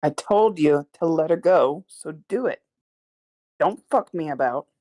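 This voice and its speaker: A woman's voice: low voice, lively intonation, a little slow, warm tone, rough recording. A woman reading a curt, sweary nightclub gangster in a live screenplay table read. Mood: curt, commanding. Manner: blunt, sweary street slang.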